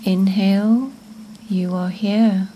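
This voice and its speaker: A woman narrating a guided meditation in a gentle, soothing, hushed manner, with phrase endings fading toward a whisper. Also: mid-range voice, fairly even intonation, takes it slowly, lo-fi sound, a little hiss or hum.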